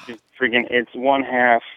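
A man's voice: medium pitch, flat delivery, takes it slowly, bright, forceful tone, no echo. A man from New Jersey rambling through a casual, profane, slangy listener message with hesitant phrasing. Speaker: listener leaving a call-in message. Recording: muffled, narrow phone-like line, dry.

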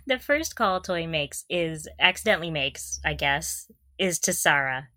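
A woman's voice: low-pitched, lively intonation, measured pace, sharp tone, no room sound, clean studio sound. A woman co-hosting a podcast in a casual fan chat, often laughing through her sentences. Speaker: podcast co-host.

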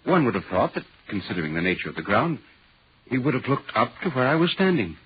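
An actor playing a polite, inquisitive newspaper reporter recounting an eerie tale in dramatic 1940s radio style. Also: male voice, medium pitch, sing-song, quick pace, slightly rough. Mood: eerie narration. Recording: dull, hissy 1940s radio recording.

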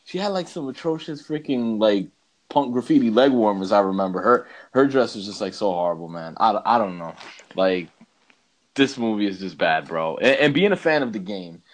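Casting: male podcast co-host, lower-pitched voice, casual and opinionated, loose slangy phrasing. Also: animated, normal speed. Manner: slangy, says bro and man often. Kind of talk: casual opinionated movie chat.

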